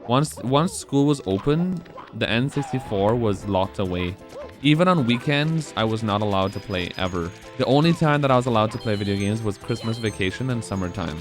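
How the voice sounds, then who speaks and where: Chatty low-voiced young man streaming. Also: expressive, moderate pace, music in the background. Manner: rambling, chatty, answers chat between game moves. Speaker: speedrunner streaming live. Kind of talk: casual live-stream chat while playing.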